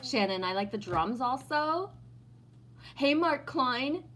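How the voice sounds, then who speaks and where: A woman's voice: high voice, expressive, slow pace, fairly bright tone. Playful Texan-born woman in her fifties. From Texas. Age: 50s. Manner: playful teasing, laughs often. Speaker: livestream host reading chat. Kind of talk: casual livestream chat.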